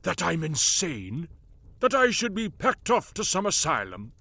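A man voicing a gruff, bitter old lord who speaks slowly with a husky growl. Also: a little high, wildly swooping pitch, bright, forceful tone, dry recording, voice pushed up, exaggerated voice. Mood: indignant. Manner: slow, growling delivery.

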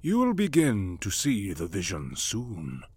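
A man voicing a gruff, bitter old lord who speaks slowly with a husky growl. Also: on the low side, wildly swooping pitch, a little mellow, no echo, full character voice. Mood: solemn. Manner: slow, growling delivery.

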